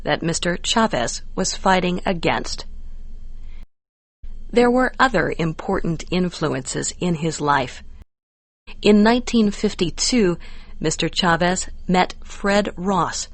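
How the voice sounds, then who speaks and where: An American woman reading scripted educational narration for English learners with measured, careful enunciation. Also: on the low side, no echo.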